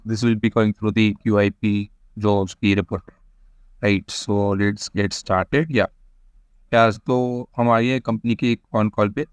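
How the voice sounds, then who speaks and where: A man's voice: fairly low pitch, clear, resonant tone, no room sound. A man with an Indian accent giving an informal stock explainer, switching often between Hindi and English as he addresses viewers familiarly. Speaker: stock analysis presenter. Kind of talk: informal explainer commentary with screen share.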